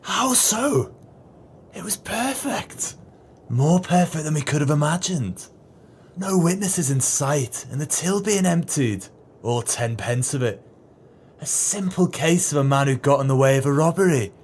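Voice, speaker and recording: An actor in an audio play voicing a callous, arrogant swindler and killer. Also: male voice, fairly high pitch, animated, unhurried, darkish tone, slight background noise. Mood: smug, gloating. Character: callous, smug swindler and murderer, businessman. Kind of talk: audio drama acting.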